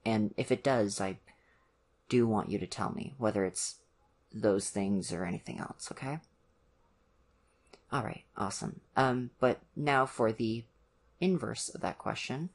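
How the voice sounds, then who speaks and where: A performer playing an awkward, caring young college boyfriend in an ASMR roleplay, speaking hesitantly and gently to the listener. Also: mid-range voice. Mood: apologetic, earnest. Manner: hesitant, gentle, awkward, many ums and pauses. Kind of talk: ASMR roleplay, one-sided conversation with the listener.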